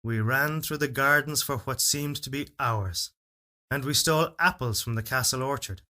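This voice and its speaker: A man reading a literary fairytale as narrator, in a solemn, measured and expressive storytelling delivery. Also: mid-range voice. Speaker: story reader. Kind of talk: dramatic literary narration of a first-person fairytale.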